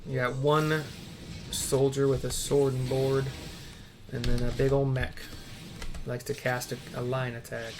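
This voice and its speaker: A man's voice: medium pitch, flat delivery, unhurried. Offhand male gamer over keyboard noise. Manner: offhand, playful muttering to himself. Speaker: gamer, video host. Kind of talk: casual gameplay commentary.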